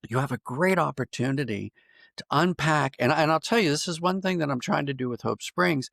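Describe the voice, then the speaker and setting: Gravelly American man in reflective conversation. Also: fairly high pitch, a little fast, a bit bright, no room sound, clean studio sound. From Pennsylvania, United States. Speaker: podcast guest, writer and audio drama producer.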